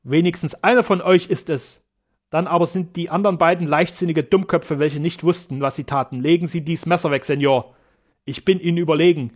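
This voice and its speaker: A man's voice: on the high side, steady tone, a little fast, close to an everyday voice. A man voicing a fearless German doctor in an audiobook, speaking formally and accusing his rivals heatedly. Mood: indignant.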